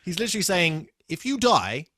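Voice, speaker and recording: A man's voice: high voice, very animated, fairly quick, bright, forceful tone, no room sound, clean studio sound. A man co-hosting a podcast, talking casually through episode notes in a hesitant way with the odd swear word. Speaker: podcast co-host.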